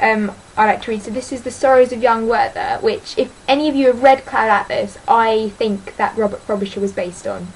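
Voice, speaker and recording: Rambling teen girl, slightly high voice. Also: a little hiss or hum, poor audio. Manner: rambling and hesitant, full of ums and sort-ofs; chatty and self-deprecating. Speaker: book vlogger. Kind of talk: casual vlog chat.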